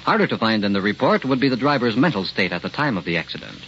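A man's voice: lowish voice, very animated, fast, a bit bright. A man reading a scripted public service announcement as an old-time radio announcer. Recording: dull, noisy old radio recording.

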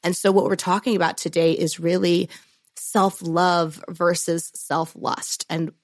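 A woman's voice: lowish voice, little pitch movement, a little slow, no echo, clean studio sound. A woman co-hosting a podcast with a smoky voice, introducing a guest and asking questions in an upbeat, casual way. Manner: upbeat, friendly, fillers and restarts. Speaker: podcast co-host.

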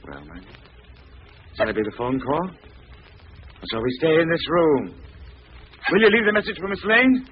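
A man's voice: on the high side, very animated, normal speed, pauses often, muffled recording. An actor playing a menacing, vengeful disfigured stage star who taunts his captive theatrically in an old radio thriller. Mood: gloating menace. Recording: noisy, band-limited vintage radio recording.

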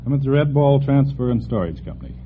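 A man's voice: medium pitch, fast talker. A man in his twenties from Colorado, a truck driver giving brief answers as a quiz show contestant. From Colorado, United States. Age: 20s. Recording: tinny noisy old radio, audience behind.

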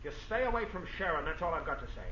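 A man's voice: on the high side, animated, fast talker, bright, forceful tone. An actor playing a hot-tempered man in a heated quarrel in a 1940s radio crime drama. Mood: angry. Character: hot-tempered men quarrelling behind an office door. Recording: echoey, muffled, distant, hissy radio recording.

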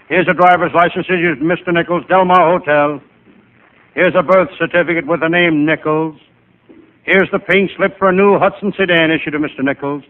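A male comedian playing a wisecracking, hapless private eye, narrating in deadpan first person in old-time radio comedy style. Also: a little high, close to an everyday voice. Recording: noisy, tinny vintage radio recording.

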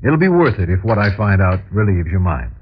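An actor playing a businesslike, sympathetic private detective, reassuring and matter-of-fact in old-time radio drama style. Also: male voice, low voice, big pitch swings, a little fast, breathy edge, full character voice. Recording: dull vintage radio recording.

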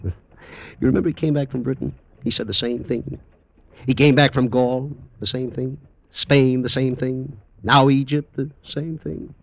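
A man's voice: medium pitch, fairly even intonation, measured pace, gravelly, no echo, low-quality recording. An actor playing a doubting Roman leather worker in his forties in a classic radio drama, with wry, hesitant delivery. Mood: wry, skeptical. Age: 40s. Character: doubting, middle-aged Roman leather worker, an ordinary family man.

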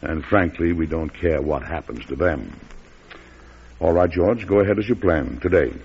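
An actor playing a patient, measured president and protective brother in an old-time radio drama, his voice husky. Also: low voice, slight background noise. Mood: calm, firm. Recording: muffled vintage radio recording.

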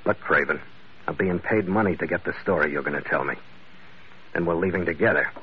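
An actor playing a tough, world-weary investigator in an old-time radio noir, with clipped, dry, wisecracking delivery. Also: male voice, deep voice, brisk, slight background noise, natural voice. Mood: menacing. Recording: muffled vintage radio recording, music behind.

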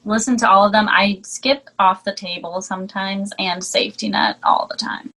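A woman co-hosting a casual music chat, relaxed and often answering in short replies. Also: mid-range voice, normal speed, gravelly, rough recording. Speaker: co-host reviewing music.

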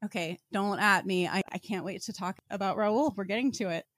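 A woman's voice: medium pitch, fairly quick, fairly bright tone, no echo. Wry woman podcaster, close dry sound. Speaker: podcast co-host. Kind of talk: casual book discussion podcast.

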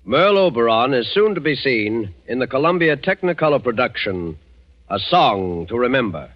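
A man's voice: medium pitch, big pitch swings, normal speed. A man announcing a 1940s radio show from a script in a formal, dramatic style. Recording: dull 1940s radio broadcast recording. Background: loud music.

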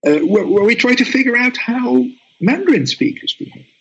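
A man's voice: very high voice, expressive, moderate pace, gravelly, a bit bright, husky, lo-fi sound. A man whose first language is Dutch, a guest researcher answering interview questions thoughtfully, with many restarts and tag questions.

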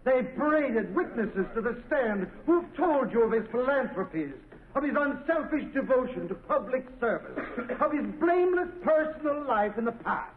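A man playing a forceful courtroom prosecutor, oratorical and formal in 1930s radio style. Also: extremely high pitch, lively intonation, moderate pace, narrow, tinny audio. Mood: accusing, confident. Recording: thin, noisy 1930s radio recording.

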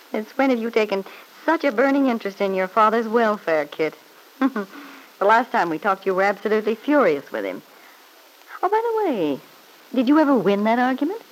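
A woman's voice: fairly high pitch, sing-song, moderate pace, poor audio. An actress playing a smug, needling married woman in an old-time radio soap opera, with an arch, mock-sweet, taunting manner.

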